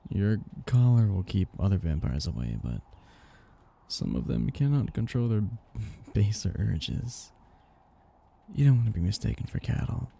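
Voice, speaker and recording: A man voicing a possessive, teasing vampire master in an intimate roleplay ASMR, with a slow, condescendingly affectionate manner and breathy delivery. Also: lively intonation, heavily acted. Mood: smug, anticipating. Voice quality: breathy, low, dark.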